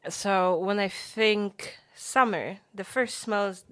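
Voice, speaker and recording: A woman's voice: mid-range voice, takes it slowly, fairly bright tone, clean tone, no room sound. A young woman co-hosting a podcast in casual chat, talking in a chatty way with restarts and repeated words. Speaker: podcast co-host.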